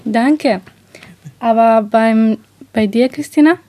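A woman's voice: fairly high pitch, takes it slowly, very noisy. A twenty-year-old woman from Romania moderating a group talk on the radio. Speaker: radio show host.

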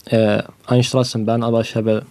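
A man's voice: mid-range voice, flat delivery, normal speed, warm tone. A man from Syria recalling his experiences casually and a little hesitantly on youth radio. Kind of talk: casual recollection.

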